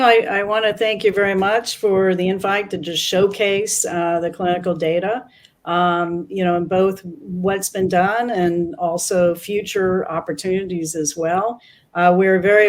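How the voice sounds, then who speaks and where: Hesitant woman guest scientist. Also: on the low side. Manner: hesitant, many fillers. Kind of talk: webinar discussion.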